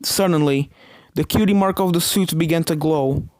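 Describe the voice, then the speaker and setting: A woman's voice: deep voice, moderate pace, a little mellow, no room sound. A woman reading fan fiction aloud as scripted story narration.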